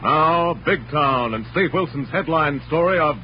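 A man's voice: a little high, a little slow, background noise, close to an everyday voice. A man playing a dramatic announcer, narrating in scripted 1940s radio style. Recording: muffled old radio recording.

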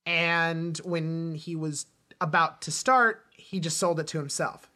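A man's voice: on the high side, steady tone, slow, pushed and bright. A man co-hosting a podcast, chatting casually about film and television. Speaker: podcast co-host.

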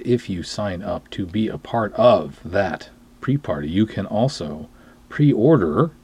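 An American man hosting a podcast, promoting to his listeners in an emphatic, deliberate, tongue-in-cheek way. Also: fairly low pitch, darkish tone, slightly rough. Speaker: podcast host, film writer-director. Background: music.

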